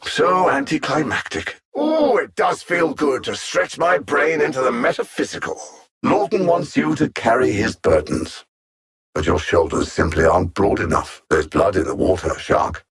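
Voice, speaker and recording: A man voicing a cold, arrogant alien conqueror in a video game, articulate, theatrical and condescending, with a husky voice. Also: mid-range voice, expressive, normal speed, fairly bright tone. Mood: smug menace. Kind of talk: video game villain voice lines.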